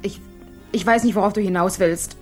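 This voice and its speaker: A woman's voice: medium pitch, steady tone, moderate pace, darkish tone, soft background music, lightly acted. An actress playing a desperate, frightened young addicted daughter in a German radio crime drama, stammering and restarting her pleading phrases. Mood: defensive, defiant. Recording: 1980s studio radio play.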